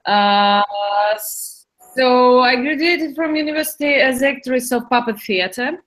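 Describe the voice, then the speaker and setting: A woman's voice: high voice, unhurried, a bit bright, poor audio. A young woman from Ukraine chatting in an English speaking club, hesitant, with many fillers and restarts. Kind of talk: casual chat in learner English.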